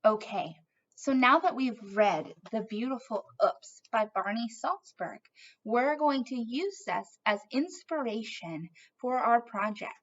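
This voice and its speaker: A woman's voice: a little high, animated, a little slow, dull audio. A woman presenting an instructional craft lesson for children as an art teacher, in an encouraging way with questions to young viewers.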